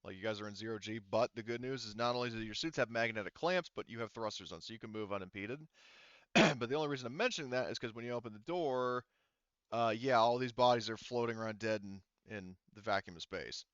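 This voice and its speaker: A man's voice: medium pitch, quick pace, fairly bright tone, smooth, dry recording. A man working as a game master, narrating a tabletop game off the cuff in a casual, rambling way over a voice call. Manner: rambling, casual, frequent hedging. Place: online voice call. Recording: dull lo-fi voice call.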